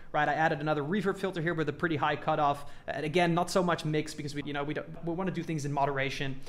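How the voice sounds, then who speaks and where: A man talking casually and informally as he explains a music production tutorial step by step. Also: on the high side. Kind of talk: casual hands-on tutorial talk.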